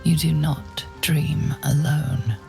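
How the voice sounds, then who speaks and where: A grave, intimate narrator of a dark fantasy game. The voice is deeply creaky and slow, hushed almost to a whisper, with ominous second-person storytelling over music. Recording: clear music behind narration.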